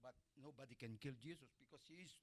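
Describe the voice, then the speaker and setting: A man's voice: mid-range voice, fast. A man from the Philippines, a guest singer whose first language is Tagalog, chatting in broken English and rambling into stories on a live stream.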